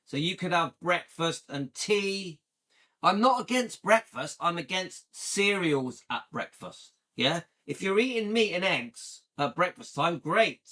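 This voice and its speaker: A man's voice: high voice, animated, a little slow, bright, forceful tone. A man in his late fifties from the UK, chatting casually and enthusiastically with viewers on a livestream and often checking in with "yeah?". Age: 50s. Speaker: livestream host.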